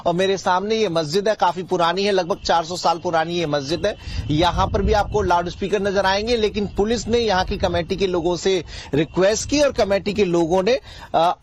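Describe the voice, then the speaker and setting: A man's voice: high voice, normal speed, a little hiss or hum. A man reporting from the field in an explanatory to-camera style. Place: outdoors in front of a mosque.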